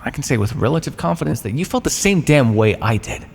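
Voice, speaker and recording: A man voicing an ancient, smug and menacing vampire captor in an ASMR roleplay, taunting and patronising with deliberate theatrical pauses. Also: mid-range voice, animated, creaky. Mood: proud, intense. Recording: long reverb, noisy background.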